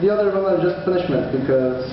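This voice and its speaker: A man's voice: a little high, expressive, fast talker. A man whose first language is Portuguese, speaking English in a casual vlog, hesitant and restarting often, laughing at himself. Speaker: vlogger. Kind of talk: casual study-update vlog. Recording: far-off echoey hall, dull lo-fi with noise.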